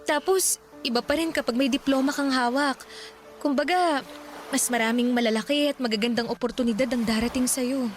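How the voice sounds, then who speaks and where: A woman's voice: high-pitched, brisk, faint music behind, close to an everyday voice. An actress playing a devoted young student girlfriend in an emotional, earnest Tagalog radio drama style. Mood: tender, concerned. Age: young adult. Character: Minerva, devoted young student girlfriend, hurt yet supportive.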